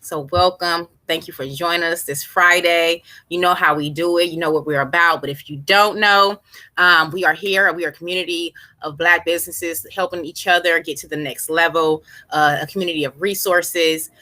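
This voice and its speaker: Woman, American accent, bright forceful voice, informal slangy chat, encouraging host, slightly low pitch. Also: steady tone, normal speed. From Los Angeles, United States. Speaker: host of an online business community show. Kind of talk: casual chatty live interview.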